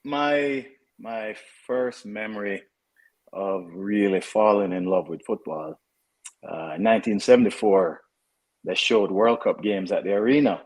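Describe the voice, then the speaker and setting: Man, jamaican accent, fifties, low voice, relaxed chat, soccer coach, easygoing fillers. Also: measured pace. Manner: relaxed, conversational, frequent fillers and restarts. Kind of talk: casual interview.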